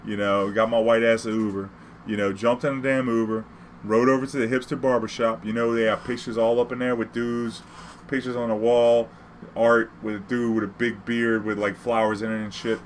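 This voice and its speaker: A man's voice: medium pitch, level tone, normal speed, a little hiss or hum. A man from New Orleans with an American accent, telling personal stories casually in profane street slang, acting out the dialogue.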